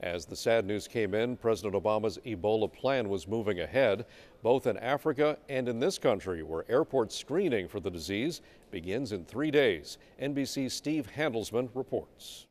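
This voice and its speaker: A man's voice: lowish voice, lively intonation, normal speed, darkish tone. A man with an American accent anchoring a television newscast, reading scripted news.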